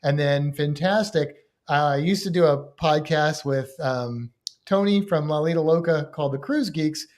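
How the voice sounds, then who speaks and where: A man's voice: on the high side, moderate pace, darkish tone. A man guesting on a livestream podcast over a video call, talking casually and hesitantly, with many restarts.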